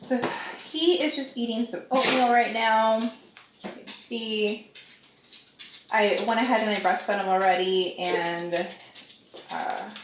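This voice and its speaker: A woman's voice: on the high side, slight background noise. A young woman vlogger, chatting casually and rambling, a bit nasal and congested, laughing often. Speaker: vlogger, stay-at-home mom. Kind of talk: casual chat to camera.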